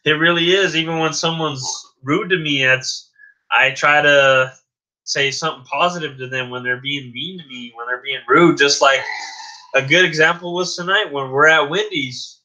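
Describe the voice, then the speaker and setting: Earnest man with a bright tense voice. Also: a little high, normal speed, low-quality recording. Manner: earnest, repeats his points insistently. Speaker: guest host. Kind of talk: casual podcast chat.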